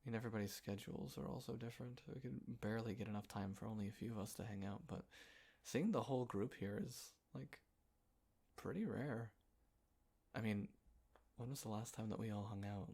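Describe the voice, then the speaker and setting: A man acting an easygoing, playful young guy in a casual roleplay audio, talking in a halting, chuckling, naturalistic way with a friend. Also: lowish voice, vocal fry, a little mellow, husky. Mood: soft, fond. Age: young adult.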